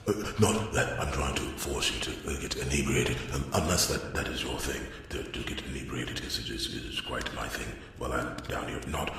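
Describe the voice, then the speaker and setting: Super deep gravelly dwarf smith, flustered chatterbox who trips over his words, asmr roleplay, big echo. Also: steady tone, brisk, few pauses, a bit bright. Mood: nervous, bashful. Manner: rambling, stammering, flustered repetitions and self-corrections. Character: chatty, bashful, self-deprecating male dwarf blacksmith. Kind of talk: ASMR roleplay character monologue. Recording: very echoey room, distant, faint noise.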